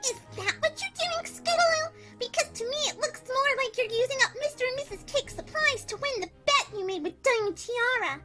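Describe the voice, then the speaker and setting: A woman playing an excitable female cartoon pony in a dramatic, emphatic fan fiction audio drama. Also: very high voice, brisk, hardly any pauses, faint music behind, exaggerated voice. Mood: suspicious.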